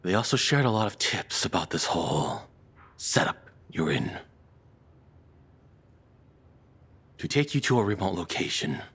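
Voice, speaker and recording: Low, husky, nervous obsessive kidnapper acted by a man. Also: very animated, fairly bright tone, full character voice. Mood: defensive, halting. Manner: halting, stammering, rambling. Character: nervous, obsessive lovestruck male kidnapper, awkward and apologetic. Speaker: audio roleplay voice actor. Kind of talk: intimate dramatic audio roleplay monologue.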